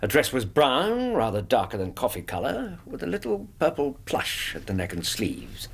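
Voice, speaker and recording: Radio drama: loyal, slightly stuffy English gentleman, raspy-edged voice, lively inflection, earnest and measured. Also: medium pitch, exaggerated voice. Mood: careful, earnest.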